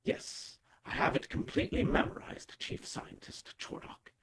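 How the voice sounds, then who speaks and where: Guy doing a breathy hushed lizard scientist, formal and pushy, storybook style, noisy home recording. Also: unhurried, close to an everyday voice. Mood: insistent. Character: urgent reptilian scientist, formal and insistent.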